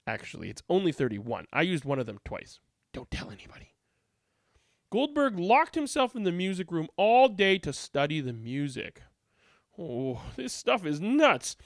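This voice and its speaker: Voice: medium pitch, no echo, studio quality. A reader giving a theatrical, emphatic read-aloud of a storybook. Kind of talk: animated read-aloud narration.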